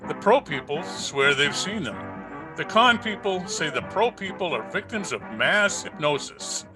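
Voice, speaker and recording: A man's voice: high-pitched, animated, unhurried, over music. A man working as announcer, reading scripted narration in the style of old-time radio, recorded over a video call.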